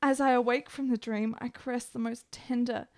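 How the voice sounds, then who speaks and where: Actress as ailing, lovestruck period heroine: high voice, florid, desperate, melodramatic radio-play delivery. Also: female voice, fairly even intonation, moderate pace, darkish tone, natural voice. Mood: dreamy longing. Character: sickly, lovestruck, desperate woman in 1870s Italy. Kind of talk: period radio drama acting.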